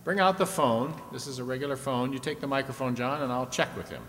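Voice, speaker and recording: A man's voice: mid-range voice, fast, fairly bright tone, lightly acted. Man acting as vintage radio quiz host, echoey hall. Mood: announcing. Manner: explanatory, prompting with questions. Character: genial, chatty male host of a vintage audience-stunt show. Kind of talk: old-time radio show acting. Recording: echoey hall with background noise.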